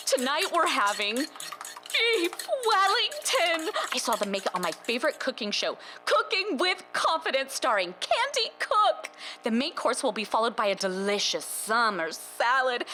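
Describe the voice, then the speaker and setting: Woman playing a high-pitched, sing-song, fussing housewife mother. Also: full character voice. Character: chirpy, fussing, oblivious housewife mother, eerily cheerful. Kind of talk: stylized horror drama acting. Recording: steady background noise and hum.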